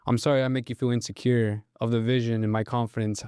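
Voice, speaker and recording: A man's voice: medium pitch, flat delivery, fast, mellow, smooth, dry recording, clean studio sound. A young man, interviewing casually in blunt, slangy English mixed with Spanish. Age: young adult. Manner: slangy, blunt, frequent "bro" and "dude". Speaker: podcast host, interviewer.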